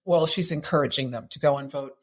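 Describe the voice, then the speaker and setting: A woman's voice: extremely low pitch, fast. A woman speaking as an interview guest and coach, giving direct, emphatic advice in conversation and often posing rhetorical questions. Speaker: guest author and activism coach.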